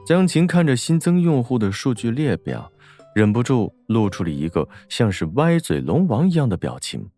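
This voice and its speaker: A man narrates a novel in a mellow, warm timbre. His mid-pitched delivery moves expressively through the scripted prose, over faint music. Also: sing-song, normal speed. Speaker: audiobook narrator.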